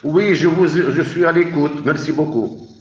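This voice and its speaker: A man's voice: fairly high pitch, measured pace, darkish tone. A man interviewing as a journalist, putting prepared questions in a formal, deferential way. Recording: muffled, echoey remote call.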